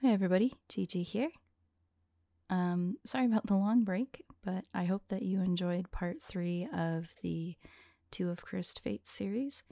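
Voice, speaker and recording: A woman's voice: lowish voice, a little slow, vocal fry, mellow, no echo, studio quality. A woman hosting her own audio channel, talking casually to her listeners in an outro. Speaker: channel host and voice performer.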